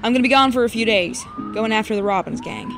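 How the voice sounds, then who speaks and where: A woman playing a gruff, stubborn young male deputy in a Western audio drama, curt and grumbling. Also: fairly high pitch, fast, music in the background, close to an everyday voice. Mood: brisk.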